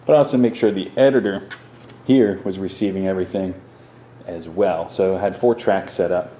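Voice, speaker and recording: A man's voice: deep voice, fairly even intonation, normal speed, mellow. A man giving a casual, unscripted walkthrough in an offhand way, speaking in short clipped fragments. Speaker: vlogger showing a home podcast setup. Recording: clearly noisy room recording.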